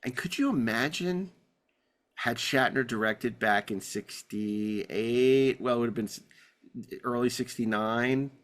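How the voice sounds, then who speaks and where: A man hosting a podcast in casual discussion, telling rambling anecdotes with halting, repeated words. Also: medium pitch, fairly bright tone. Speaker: podcast host. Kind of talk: casual panel discussion of classic TV.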